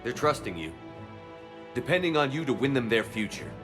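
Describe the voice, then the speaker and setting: A man's voice: medium pitch, animated, normal speed, music in the background, lightly acted. A man playing a steadfast, sober military commander in a video game cutscene. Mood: solemn, inspiring. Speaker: squad commander.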